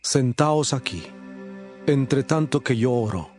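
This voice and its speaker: A man playing a grave, authoritative male religious teacher in a dramatised scripture audiobook, speaking in a solemn, measured way. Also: mid-range voice, lively intonation, a little mellow, faint music behind. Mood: sorrowful.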